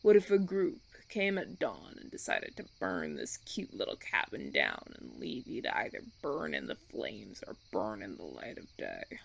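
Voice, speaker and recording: A suave vampire recruiter, acted by a man for an intimate audio roleplay. He persuades with deliberate, theatrical care and veiled menace. His pitch swoops dramatically and often settles into a gravelly creak. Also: huge pitch swings, fairly bright tone, full character voice. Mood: ominous concern. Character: suave menacing male vampire recruiter, smooth-talking and patronizing. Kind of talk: audio roleplay, ASMR monologue.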